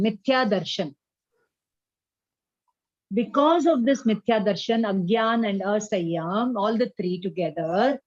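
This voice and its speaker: A woman with an Indian accent giving a spiritual class, explaining deliberately and checking that listeners follow. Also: fairly high pitch, dry recording, muffled recording. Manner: didactic, deliberate, checks understanding with questions. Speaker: religious teacher.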